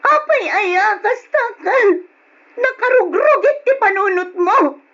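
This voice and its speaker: A very high-pitched woman's voice a long-suffering battered wife in an old Ilocano radio drama. She is plaintive and pleading, often close to tears. The recording is dull and lo-fi. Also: lively intonation, slow, fairly bright tone, full character voice. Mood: pained pleading. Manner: plaintive, emotional.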